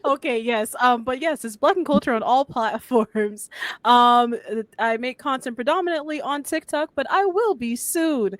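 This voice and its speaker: A woman's voice: high voice, normal speed, no room sound. A woman chatting casually on a podcast in a joking, self-deprecating way. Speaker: podcast panelist, content creator.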